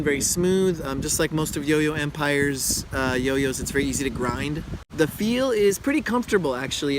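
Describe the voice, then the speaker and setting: Scratchy-voiced man chatting outdoors in wind. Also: on the high side. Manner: relaxed, chatty, frequent uh fillers. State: hoarse from illness. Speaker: yo-yo reviewer. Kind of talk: casual product review. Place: outdoor park, windy. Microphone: camera close-up.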